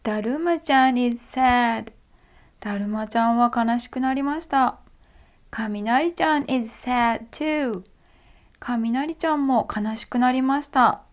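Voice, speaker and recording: Lady reading a kids picture book, high voice, takes it slow and clear. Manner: gentle, clear, slow storytelling. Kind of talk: bilingual storybook read-aloud. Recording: faint music behind.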